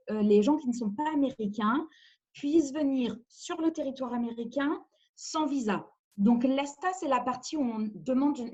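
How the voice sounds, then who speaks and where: A woman's voice: fairly high pitch, darkish tone, muffled recording. A woman from France, an immigration lawyer, giving a webinar presentation over a video call, explaining carefully with frequent hesitations.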